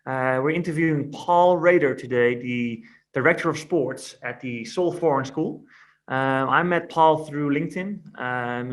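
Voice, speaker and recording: A man's voice: a little high, a bit bright. A man speaking casually as the co-host of an interview podcast.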